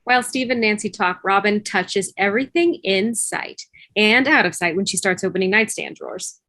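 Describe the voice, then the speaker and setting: Woman, scripted narration, bright crisp edge, dry sound, table read over video call. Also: medium pitch, normal speed, no room sound. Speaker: stage-direction reader in a table read.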